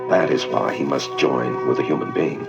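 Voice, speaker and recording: Grave male alien officer for sci-fi read-along: extremely low, creaky, deliberate, logical. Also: fairly even intonation. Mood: thoughtful. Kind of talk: read-along record dramatization. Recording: dull vintage read-along record with music.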